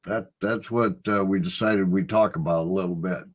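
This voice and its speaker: Middle-aged male host, Midwestern accent, lower register, loose and chatty. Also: steady tone, normal speed. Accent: Midwestern American. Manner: easygoing, rambling storyteller, chuckles often. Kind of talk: casual livestream chat.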